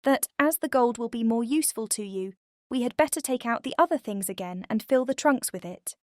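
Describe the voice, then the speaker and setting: A woman playing a gentle, sincere young heroine in a dramatized fairy-tale audiobook. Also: a little high, expressive, brisk, dry recording, studio quality, voice pushed up, natural voice. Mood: gentle, practical.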